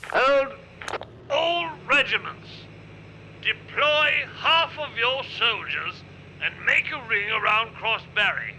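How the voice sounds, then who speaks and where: Man acting a high, sharp-voiced ruthless army general. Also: lively intonation, slow pace, a little hiss or hum, exaggerated voice, rough recording. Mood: stern, commanding. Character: commanding, ruthless British army general on field radio. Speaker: actor in a radio play. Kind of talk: radio play acting, military radio exchange.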